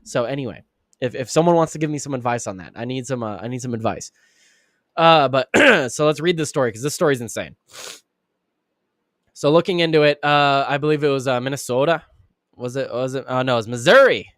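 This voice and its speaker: A man hosting a live commentary show who sounds raspy and under the weather, rambling with frequent restarts and fillers. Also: fairly quick, fairly bright tone, no room sound, clean studio sound. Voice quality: raspy, deeper than usual. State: sick, clearing throat and coughing. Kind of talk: casual live-stream commentary.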